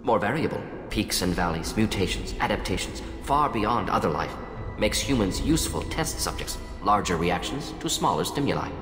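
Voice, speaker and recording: Game role: clinical, opinionated alien scientist. Low register, terse analytical fragments, heavy hall reverb. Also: male voice, lively intonation, moderate pace, fairly bright tone. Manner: clipped telegraphic sentences, dropping small words, analytical. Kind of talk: video game character acting. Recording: echoey hall reverb, music behind. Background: game music.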